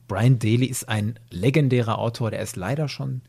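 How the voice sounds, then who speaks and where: A man's voice: mid-range voice, moderate pace, vocal fry, darkish tone, breathy edge, no room sound. A man hosting a podcast, giving his opinions analytically in a conversational review discussion. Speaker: podcast host.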